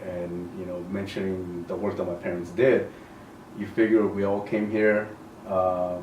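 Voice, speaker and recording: Man in his 40s from El Salvador, principal, lowish voice, measured and thoughtful, recorded at a distance in an echoey room. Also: level tone, a little mellow. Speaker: high school principal. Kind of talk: casual interview. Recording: echoey room, mic at a distance, faint noise.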